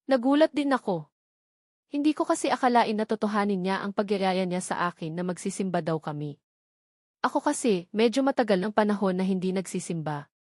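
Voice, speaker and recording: A Filipino woman narrates a story in the first person as an audiobook reader. Her voice sits at an average pitch for a woman, recorded dry with no room sound around it. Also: no room sound.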